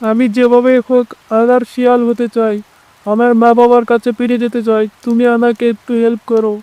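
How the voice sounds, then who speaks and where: A man's voice: extremely high pitch, completely flat delivery, fairly quick, warm tone, clear, resonant tone, no room sound. A man voicing a hapless young cartoon fox turned into a bird, speaking in a plaintive, pleading way. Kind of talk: Bengali children's cartoon dubbing.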